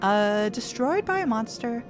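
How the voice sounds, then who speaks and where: A woman streaming a puzzle game, chatting casually, thinking out loud and reading the game's text aloud. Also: a little high, lively intonation, unhurried. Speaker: gaming streamer. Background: game music.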